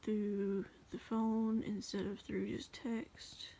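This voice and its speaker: A woman casually reading her journal aloud as a voice-over, in a rambling, halting way. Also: medium pitch, level tone, vocal fry, mellow. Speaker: journal flip-through video creator.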